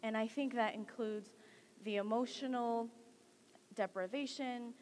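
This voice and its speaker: A young woman from California giving an earnest, measured talk as a guest speaker at a campus assembly. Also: on the high side, darkish tone, slight background noise. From Central Valley, California, United States. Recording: long hall reverb.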